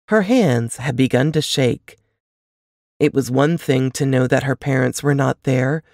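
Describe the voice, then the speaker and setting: A woman reading a novel aloud as scripted narration, with expressive, measured storytelling delivery. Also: deep voice, dry recording, studio quality. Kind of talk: scripted audiobook-style fiction narration.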